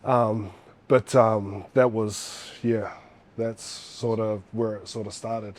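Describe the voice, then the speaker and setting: A man's voice: lowish voice, steady tone, takes it slowly. A man in his forties with a New Zealand accent, telling his story in a casual interview, rambling with fillers and restarts. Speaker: guest, former fighter.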